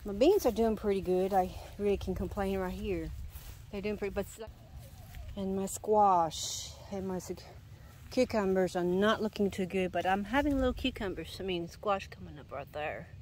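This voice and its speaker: A woman's voice: medium pitch, normal speed, darkish tone, slight background noise. A woman with a stuffy, nasal voice from congestion, rambling and correcting herself as she walks through her home garden outdoors, filming with a handheld camera. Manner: rambling, self-correcting, sighing. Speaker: gardening vlogger. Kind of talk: casual garden walk-through. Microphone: handheld camera filming while walking.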